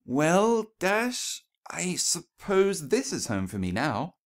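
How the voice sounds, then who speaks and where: A man's voice: fairly high pitch, wildly swooping pitch, takes it slowly, pitched above the performer's own voice, full character voice. A man voicing a genteel, gushing female cartoon pony in a storybook reading, refined and anxiously polite. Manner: refined, effusive, over-polite.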